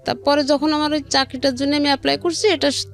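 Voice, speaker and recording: A middle-aged woman with a Bangladeshi accent tells a personal story in an interview. Her voice sits a little high, and she talks conversationally, recounting exchanges in detail. Soft music plays behind her. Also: fairly even intonation, quick pace. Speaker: guest telling own life story. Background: background music.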